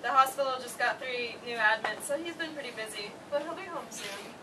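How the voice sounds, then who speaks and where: Woman playing a high-voiced caring grown daughter, distant. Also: fairly quick, close to an everyday voice. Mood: reassuring. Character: energetic, ambitious thirty-four-year-old daughter, caring and busy. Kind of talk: staged play reading. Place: theater stage, recorded from the audience. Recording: distant, noisy live theater recording.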